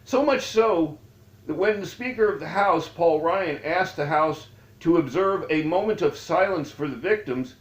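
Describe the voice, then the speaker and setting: A man reading aloud from his own memoir as its author, with deliberate, halting phrasing. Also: a little high, animated. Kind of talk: read-aloud memoir narration. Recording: dull, echoey room recording.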